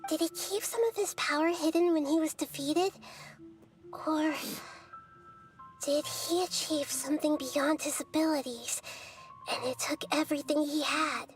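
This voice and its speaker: A gentle, wise girl goddess. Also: high voice, fairly even intonation. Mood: thoughtful. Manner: soft, measured and reassuring. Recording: game audio with loud music.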